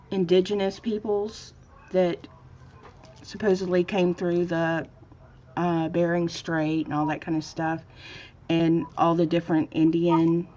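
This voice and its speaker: Slow, mellow woman in her fifties. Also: lowish voice, muffled recording, a little hiss or hum. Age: 50s. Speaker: host of a painting stream. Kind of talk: casual chat.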